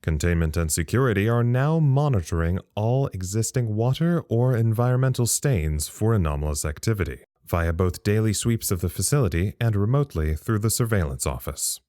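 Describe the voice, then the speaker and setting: A man playing a thoughtful, uneasy log-keeper at a secret research site in an audio drama, measured and confiding. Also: on the low side, animated, mellow, no echo, studio quality, close to an everyday voice. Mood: official, measured. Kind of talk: audio drama monologue as a recorded incident log.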